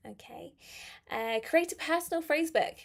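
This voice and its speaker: A young woman teaching on a live stream, her voice a little croaky, chatty and friendly, slowing down to stress key phrases. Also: high voice. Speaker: English teacher. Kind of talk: live-stream English lesson.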